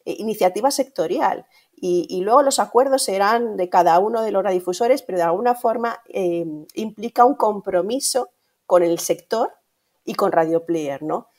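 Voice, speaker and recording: A woman's voice: medium pitch, normal speed, a little mellow. A middle-aged woman with a Castilian Spanish accent explaining things conversationally in an interview over a video call, often ending phrases with tag questions. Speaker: guest, radio industry manager. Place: indoor room at home, on a video call.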